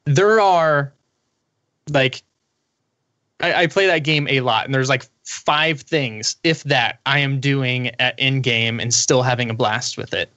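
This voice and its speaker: A man's voice: medium pitch, slow, pushed and bright, no room sound, lo-fi sound. A man talking casually as a panelist in a gaming discussion. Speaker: podcast panelist.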